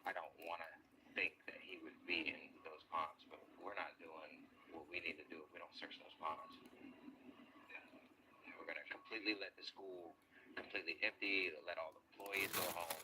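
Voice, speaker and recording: A man working as an underwater search diver, narrating the search step by step outdoors by ponds and calmly reporting depths and findings. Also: deep voice, a little fast, noisy background.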